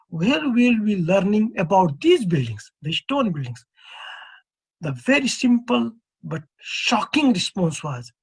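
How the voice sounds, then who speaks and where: A man in his fifties from East Nepal with a Nepali accent, giving a measured, earnest conference presentation as an earthquake engineer. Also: high-pitched, husky, poor audio. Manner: measured, earnest, poses questions to the audience.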